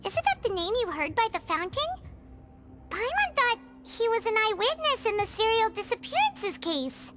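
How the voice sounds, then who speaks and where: A woman voicing a tiny, excitable, easily scared fairy companion in a video game, with a squeaky, childlike voice and exclamatory delivery. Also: moderate pace. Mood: shocked, puzzled. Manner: refers to self in third person, exclamatory. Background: game music.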